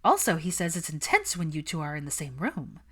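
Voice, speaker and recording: A woman's voice: deep voice, very animated, fairly quick, fairly bright tone, slightly rough, exaggerated voice. A woman voicing an observant young witch friend who speaks frankly and with mild amusement, in a story reading. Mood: frank, amused.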